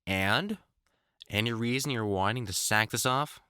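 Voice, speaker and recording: A man's voice: mid-range voice, unhurried, sharp tone, smooth, dry recording. A man playing an older, experienced vampire hunter in an audio roleplay, talking informally and reassuringly like a mentor, with dry jokes and mild swearing. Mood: encouraging, teasing. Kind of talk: intimate one-sided audio roleplay, conversational acting.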